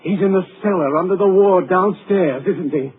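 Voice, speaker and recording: A man's voice: high voice, moderate pace, slightly rough. An actor playing a deranged, obsessed surgeon-patient who threatens his doctor in a 1940s radio drama. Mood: menacing. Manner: menacing, mocking, broods then rants. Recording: muffled lo-fi 1940s radio broadcast with hiss.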